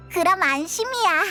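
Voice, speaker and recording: An actress voicing a tiny, cheerful childlike fairy companion in a game, chirpy and excitable. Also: female voice, very high-pitched, heavily acted. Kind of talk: cartoonish game voice acting. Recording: music under the voice.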